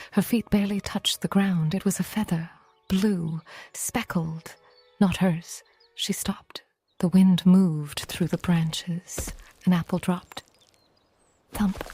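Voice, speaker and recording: A woman narrating a scripted bedtime story in a soft, breathy, near-whispered voice, gentle and unhurried, with long pauses. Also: medium pitch.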